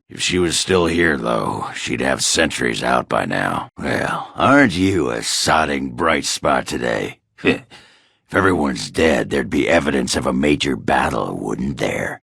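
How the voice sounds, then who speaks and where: An actor playing a gruff, crude dwarf warrior in a video game, with blunt, salty, grumbling speech. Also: male voice, a bit bright, no room sound, studio quality, heavily acted. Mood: grim, determined, then gruff, irritated. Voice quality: very deep, gravelly, rough. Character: gruff, crude dwarf warrior searching for his lost love. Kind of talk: video game character dialogue.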